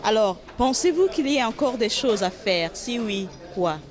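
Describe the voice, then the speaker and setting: A woman's voice: a little high, lively intonation, moderate pace. A woman interviewer opening the segment and asking prepared questions in a formal, polished manner. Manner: formal, polished presenter delivery.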